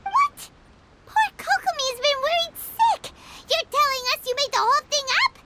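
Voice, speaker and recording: A woman's voice: very high voice, expressive, moderate pace, very noisy. A woman voicing a small, childlike fairy girl companion in a video game, with perky, indignant, exaggerated delivery. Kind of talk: animated video game character acting.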